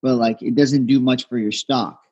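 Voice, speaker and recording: A man whose first language is Aramaic, speaking as a fighter guest in a casual interview, humble and halting with many restarts. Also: medium pitch, fairly even intonation, dark tone, breathy edge, dull audio.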